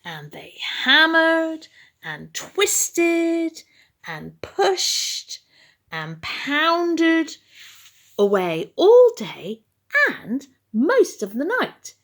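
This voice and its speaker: Female storybook reader, slow and theatrical, with creaky edges, for bedtime tales. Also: high-pitched. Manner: dramatic, expressive, deliberately paced. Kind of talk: animated children's bedtime story reading.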